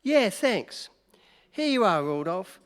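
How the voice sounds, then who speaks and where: Guy playing brash excitable newspaperman in a radio play, swingy delivery, big echoey hall. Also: high-pitched, takes it slowly, clear, resonant tone, exaggerated voice. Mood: brash, bossy. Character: brash, excitable male New York newspaper reporter. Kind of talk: old-time radio play acting. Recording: echoey hall, long reverb.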